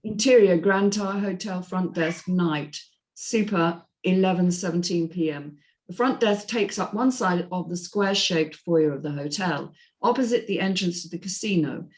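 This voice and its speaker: A woman's voice: fairly low pitch, moderate pace, a little mellow. A woman reading scripted screenplay narration and stage directions as the narrator of a drama table read.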